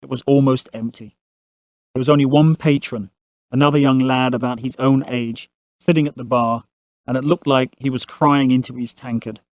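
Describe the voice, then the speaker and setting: A man's voice: medium pitch, steady tone, moderate pace, no echo. Dry, close-recorded male storyteller. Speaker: narrator. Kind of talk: scripted storytelling narration.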